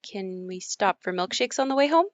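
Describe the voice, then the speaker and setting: A woman's voice: mid-range voice, animated, moderate pace, dry recording, natural voice. A woman acting in an audio play as an uneasy daughter who recalls growing up in a haunted house. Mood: reluctant. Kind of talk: audio play monologue and dialogue.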